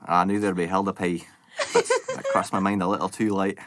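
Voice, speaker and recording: A man reading song lyrics in a put-on Scottish accent, halting and laughing through it, with loud clicks in the background. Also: sits low, little pitch movement, background noise, natural voice. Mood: playful.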